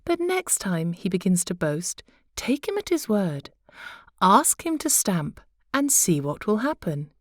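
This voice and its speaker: A woman portrays a gentle, wise queen from a storybook. She speaks softly and slowly, as if sharing a secret. The recording is very dry. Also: mid-range voice, sing-song, no room sound. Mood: confiding. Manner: soft, measured, confiding. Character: wise, gentle, beautiful queen, calm and conspiratorial.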